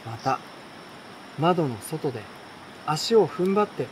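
A young adult man reading a bedtime story aloud in a relaxed, unhurried way, with pauses between short phrases. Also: on the high side, slight background noise. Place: outdoors at night beside a campfire. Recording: campfire, insects and stream behind voice.

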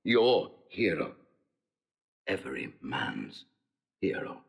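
An actor playing a cold, scheming nobleman, measured and insinuating, in classical Shakespearean style. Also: rumbling low, sing-song, creaky, husky, exaggerated voice. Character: cold scheming nobleman villain, slandering brother. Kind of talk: classical Shakespearean audio drama acting.

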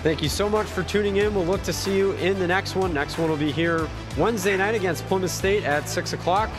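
A man's voice: high-pitched, a little fast, over music. A man who hosts a college sports broadcast, interviewing a coach, reading sponsors and wrapping up the game in an upbeat style.

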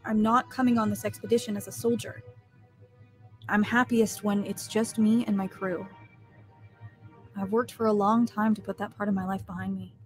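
A woman's voice: mid-range voice, little pitch movement, normal speed, pauses often, faint music behind, close to an everyday voice. A woman acting an upstanding, guarded ex-military privateer captain in an audio drama. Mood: guarded, firm.

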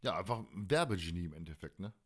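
A man's voice: low-pitched, animated, fast talker. A man hosting a casual fan podcast, talking in a colloquial, opinionated and blunt way. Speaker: podcast host.

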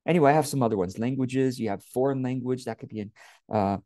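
A man's voice: medium pitch, fast talker, mellow, no echo, clean studio sound. A man in his late forties with a Californian accent, giving casual, conversational advice as a coach and talking directly to the listener with informal emphasis. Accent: Californian American. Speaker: business coach. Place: small indoor room at home. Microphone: wired earphone headset on a webcam.

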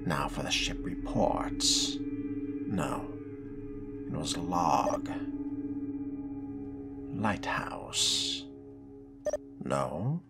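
Man playing a very slow, deep, wry vampire. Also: animated, vocal fry, exaggerated voice. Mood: curious, musing. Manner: wry, measured, hushed musing. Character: composed, wry male vampire fledgling investigating by stealth. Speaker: player role-playing the protagonist. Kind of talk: in-character video game role-play. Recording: loud game music behind.

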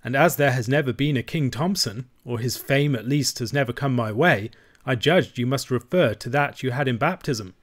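A gentleman lawyer, as a male narrator plays him in an audiobook. He speaks formally and civilly, in long polished phrases. A dry amusement runs through his courtesy. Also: medium pitch, normal speed, close to an everyday voice. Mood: drily teasing. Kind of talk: audiobook character voice.

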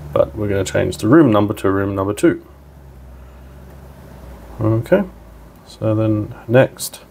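A man's voice: on the low side, slow pace, creaky, darkish tone, slight background noise. A man narrating a hands-on product tutorial in an informal, step-by-step way. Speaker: product demonstrator.

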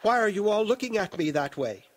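A man's voice: sits high, expressive, fairly quick, voice pushed up. A man voicing a possessed young woman in an audiobook, pleading in sweet, lilting little-girl tones. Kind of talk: dramatic audiobook character acting.

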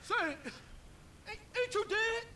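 An actor playing a befuddled, excitable old American gun dealer in a video game. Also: male voice, very high voice, slow, slight background noise, full character voice. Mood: startled. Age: older.

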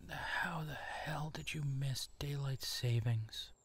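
A man playing an apologetic, self-doubting werewolf in human form in a roleplay audio drama, speaking softly and hesitantly. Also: medium pitch, takes it slowly, a little hiss or hum. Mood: self-reproaching. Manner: hesitant, soft-spoken, sheepish.

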